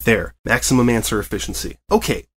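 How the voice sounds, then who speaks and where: A man's voice: medium pitch, brisk, slight background noise, lightly acted. A man voicing a flustered, uptight male reporter pony in a storybook reading, speaking in a fussy, exasperated way. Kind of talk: fan fiction reading.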